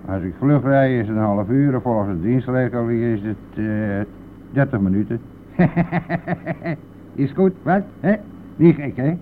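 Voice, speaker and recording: A man's voice: medium pitch, expressive, measured pace, close to an everyday voice. Man acting a cheeky bus driver, tinny old recording. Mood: mocking, chatty. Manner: teasing, blustering, chuckling at own jokes. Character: cheeky, nosy, grumbling male village bus driver. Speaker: radio drama actor. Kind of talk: Dutch radio play acting. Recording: tinny narrow-band old radio recording, vehicle noise.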